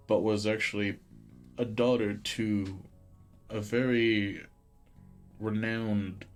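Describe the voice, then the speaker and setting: A man live streaming a game, chatting casually with some swearing and reading the on-screen text aloud. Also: on the low side. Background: game music.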